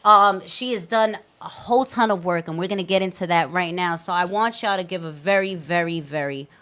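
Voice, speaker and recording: A woman's voice: fairly low pitch, brisk. A woman hosting a podcast, introducing a guest with enthusiasm and asking her about her life. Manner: hyped, enthusiastic, shouting out the guest. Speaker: podcast host.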